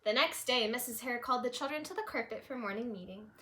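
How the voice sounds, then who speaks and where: A woman reading a storybook aloud for children, with chatty, simple asides and wondering out loud. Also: fairly high pitch, quick pace, slight background noise. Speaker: storybook reader, teacher.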